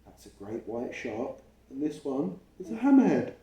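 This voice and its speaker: A man reading a picture book aloud to a child at home, pausing to explain and ask questions along the way. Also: medium pitch, sing-song, dark tone, recorded from a distance, lo-fi sound. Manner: explains and asks questions as he reads. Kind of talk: storytime read-aloud with chatty asides to a child. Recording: roomy, echoey home recording.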